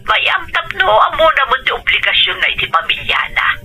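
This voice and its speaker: A man's voice: very high-pitched, sing-song, fairly quick, bright, forceful tone, faint music behind, exaggerated voice. A man playing a loving, reassuring mother of grown children in a family drama. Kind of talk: sentimental drama phone dialogue.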